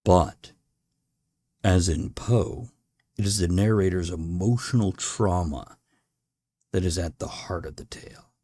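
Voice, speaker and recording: A man reading classic horror fiction as a podcast host, with measured, deliberate phrasing and dramatic pauses. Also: low-pitched, mellow, no room sound, clean studio sound. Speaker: podcast host and audiobook reader.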